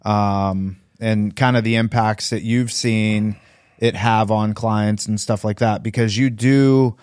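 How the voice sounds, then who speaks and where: A man's voice: lowish voice, steady tone, slow, darkish tone, clear, resonant tone, no echo. A man hosting a casual interview chat on a close studio microphone. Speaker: podcast host. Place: small indoor podcast studio.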